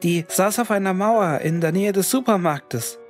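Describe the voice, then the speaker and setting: A man voicing an excited, slightly built young gamer with eager enthusiasm in a horror story. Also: sits high, moderate pace, faint music behind, pitched above the performer's own voice.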